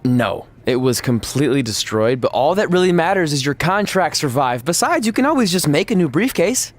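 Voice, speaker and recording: Guileless, upbeat oddball buddy. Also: male voice, a little high, big pitch swings, brisk. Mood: proud, cheerful. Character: upbeat, oddball loyal friend, proud scavenger. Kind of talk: video game cutscene acting, conversational.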